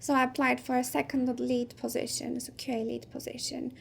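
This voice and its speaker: A woman in her thirties whose first language is Turkish, speaking as a guest in a casual interview, soft-spoken and thoughtful with frequent pauses. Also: high-pitched, flat delivery, vocal fry, a little hiss or hum. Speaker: guest, game producer.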